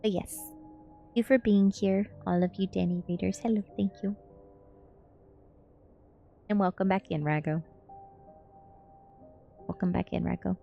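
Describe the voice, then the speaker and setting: A woman's voice: mid-range voice, fairly even intonation, unhurried, gravelly, long pauses, dull audio. A woman streamer reading a novel aloud in a cozy ASMR storytelling style, with casual welcoming asides and the odd stumble over words. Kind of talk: ASMR storybook narration with casual chat to listeners. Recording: music behind the voice. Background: music.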